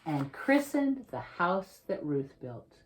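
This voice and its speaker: Slow, low-pitched woman reading a storybook theatrically. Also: sing-song, poor audio. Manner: theatrical, emphatic, drawn-out suspenseful phrasing. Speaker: children's author reading own picture book aloud. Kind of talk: dramatic read-aloud storytelling for children.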